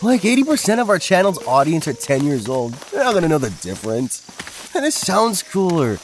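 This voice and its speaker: Brash young male ghost-hunt host played by a man: high voice, animated, crude slang, audio drama. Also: brisk, a little hiss or hum, poor audio. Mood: cocky, irritated. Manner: cocky, slangy, hyped-up vlogger patter.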